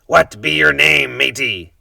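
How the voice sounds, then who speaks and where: A man voicing a loud, gruff pirate captain who bellows his words in pirate talk. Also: mid-range voice, a little slow, dry recording, studio quality, recorded from a distance, voice pushed up, full character voice. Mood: bellowing.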